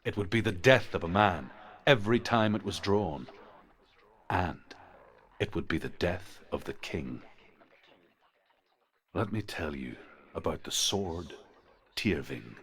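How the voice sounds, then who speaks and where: An actor playing a grave, deliberate storyteller who recounts Norse legends in a solemn scripted narration. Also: male voice, sits low, lively intonation, a bit bright, full character voice. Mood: ominous. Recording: game audio under clear background noise.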